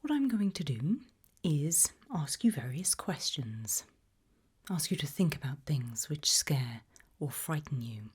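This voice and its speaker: A woman softly whispering an ASMR roleplay, questioning the listener in a deliberate, gently ominous way. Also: low voice. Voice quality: soft breathy whisper, mostly unvoiced.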